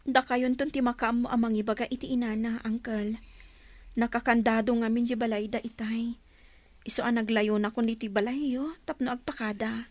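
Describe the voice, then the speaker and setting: An actress in a radio drama playing a long-suffering, distressed young wife with a plaintive, earnest way of talking. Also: female voice, on the high side, fairly even intonation, normal speed, no room sound, lightly acted. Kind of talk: Ilocano radio drama acting.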